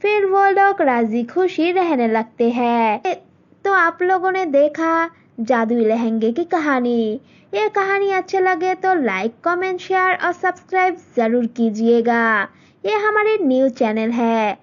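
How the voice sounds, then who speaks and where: High, slow storybook narrator. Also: female voice, animated. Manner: plain, slightly halting. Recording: dull lo-fi recording.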